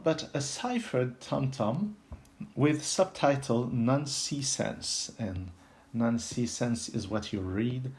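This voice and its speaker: A man from France with a French accent, giving an unscripted puzzle tutorial in a halting, step-by-step way, thinking aloud. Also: mid-range voice, a little mellow. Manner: halting, pauses mid-sentence, explains step by step. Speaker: puzzle video host.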